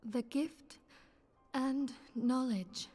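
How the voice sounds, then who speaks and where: Resolute, higher-voiced noble leader. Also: female voice, drawn-out words, a little mellow, faint music behind, heavily acted. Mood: shaken, intense. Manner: formal, stately archaic diction. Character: earnest, resolute noblewoman leader of a fantasy order. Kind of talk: dramatic video game voice acting.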